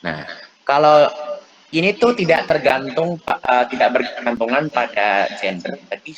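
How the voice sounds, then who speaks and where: A young man teaching French on a video call, drilling pronunciation slowly and repeating phrases. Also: medium pitch, big pitch swings, a crowd in the background, low-quality recording. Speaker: French tutor. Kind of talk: online French lesson.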